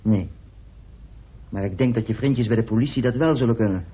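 An actor plays a self-assured man in a radio drama. He explains and argues assertively in a husky, mid-pitched voice, with a dry manner. The sound is thin and boxy, like an old phone line. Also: brisk, lightly acted. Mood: dry, pointed. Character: self-assured man coolly arguing his theory of a murder. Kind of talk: radio play acting.

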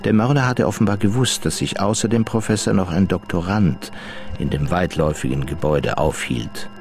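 Low-voiced male crime drama narrator. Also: normal speed, darkish tone, faint music behind.

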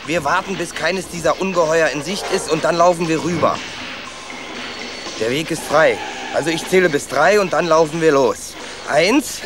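A man's voice: fairly high pitch, moderate pace, music in the background, close to an everyday voice. A young man playing an adventurous, stubborn young rat who tells his own story in a children's radio play. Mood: eager, insistent. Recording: clear ambient effects behind.

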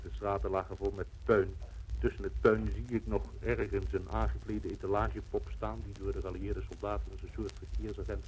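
A male correspondent with a husky voice giving a measured, emphatic eyewitness recollection for 1950s radio. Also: fairly low pitch, darkish tone, slight background noise, poor audio.

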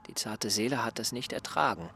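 An actor playing an aggrieved, wheedling ageing former servant in a radio drama. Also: male voice, mid-range voice, animated, brisk, creaky, sharp tone, a little hiss or hum.

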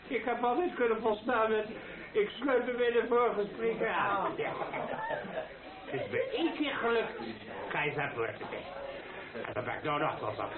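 An older, folksy father of the bride in an old radio drama. He speaks in a rather high voice, hesitant and self-deprecating, as he addresses guests. The sound is thin and narrow, with a crowd around him. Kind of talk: radio play acting, rambling wedding speech. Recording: tinny band-limited old radio play, echoey crowded hall.

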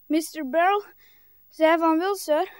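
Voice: very high-pitched, fairly even intonation, slow, no room sound, studio quality. A performer playing a polite, timid young boy on an errand in a radio drama, speaking deferentially. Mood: polite, eager. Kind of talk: broadcast radio drama acting.

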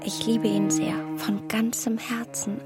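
A woman playing a sighing, self-absorbed young gentlewoman of twenty-one in a radio play, half-whispering plaintively. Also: on the high side, flat delivery, a little slow, natural voice. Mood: wistful, gloomy. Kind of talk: German radio play acting. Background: loud music.

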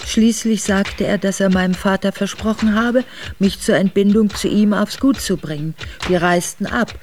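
An actress playing an aristocratic middle-aged Russian woman quietly recounting her memoirs to her son in a radio drama. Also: mid-range voice, steady tone, moderate pace, slight background noise, natural voice. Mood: troubled recollection. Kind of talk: intimate radio drama narration.

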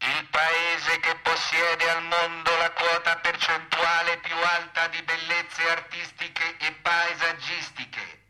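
Male actor as bombastic patriot, high pitch, very sharp forceful tone, declamatory, emphatic repetition, satirical theatre. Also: exaggerated voice, rough recording. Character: bombastic, mock-patriotic orator boasting of his country. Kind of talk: declamatory satirical stage speech.

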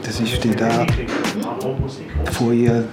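An actor playing a deluded, rejected suitor in a radio play, stubbornly infatuated, halting and insistent as he justifies himself. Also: mid-range voice, completely flat delivery, close to an everyday voice. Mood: hurt, obsessive. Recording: loud music over the voice.

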